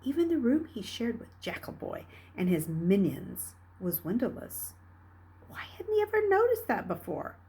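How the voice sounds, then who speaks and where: Female audiobook-style reader, mid-pitched, a touch raspy, expressive and dramatic children's fiction narration. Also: moderate pace. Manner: dramatic, expressive, with hushed dips and emphatic rises. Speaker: reader reading a children's novel aloud. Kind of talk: read-aloud storytelling narration.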